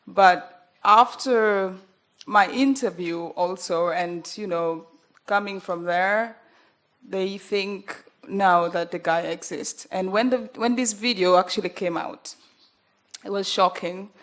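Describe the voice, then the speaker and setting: A young woman with a Ugandan accent talks at a panel in an earnest, halting way. Her voice sits in a middle pitch, and she often stops on fillers before going on. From northern Uganda. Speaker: journalist panelist. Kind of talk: panel talk.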